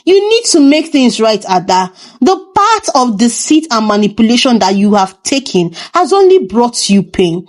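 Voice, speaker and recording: A woman's voice: fairly high pitch, very animated, normal speed, hardly any pauses. A woman storyteller voicing a worried, earnest young female friend who gives cautioning advice in a folktale. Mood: grave advice.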